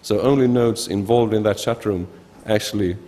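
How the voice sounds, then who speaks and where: A man's voice: fairly low pitch, fairly even intonation, normal speed, warm tone. Swedish-accented man in a reverberant hall. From Sweden. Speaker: researcher presenting at a conference. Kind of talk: informal technical conference talk. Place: large indoor conference hall, at a lectern. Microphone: gooseneck lectern microphone.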